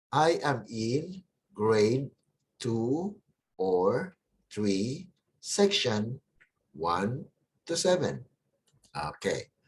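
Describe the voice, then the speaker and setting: Guy teaching little kids english, super slow, says everything twice, voice bounces up and down a lot. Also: medium pitch. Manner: slow, deliberate, repeats each phrase twice. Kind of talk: slow repeat-after-me classroom drill.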